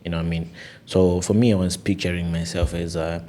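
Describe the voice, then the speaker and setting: A man's voice: sits low, little pitch movement, fairly quick, mellow. A young man talking earnestly and with animation in a casual podcast conversation, often saying "you know".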